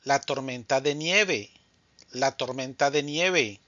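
A man teaching Spanish vocabulary in a scripted drill, speaking slowly and deliberately and saying each answer twice. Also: medium pitch, bright, forceful tone, no room sound, dull audio.

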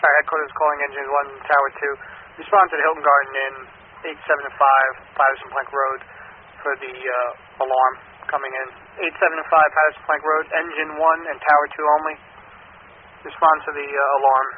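A man's voice: a little high, little pitch movement, fairly quick. A male fire dispatcher sending out units in procedural language over a two-way radio. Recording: phone-like radio channel, faint noise.

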